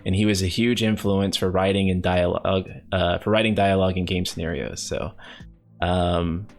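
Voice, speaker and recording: A man with a fairly low voice who hosts a casual live stream. He is upbeat, chuckles easily and reads viewer questions aloud. Soft music plays faintly underneath. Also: steady tone, moderate pace. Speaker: host.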